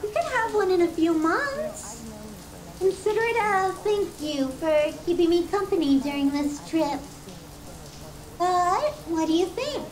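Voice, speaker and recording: A woman's voice: extremely high pitch, expressive, a little slow. A woman playing a possessive, sadistic yandere girlfriend in an ASMR roleplay, doting and cutesy with theatrical affection. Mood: doting, coy. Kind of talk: ASMR roleplay, scripted monologue to the listener.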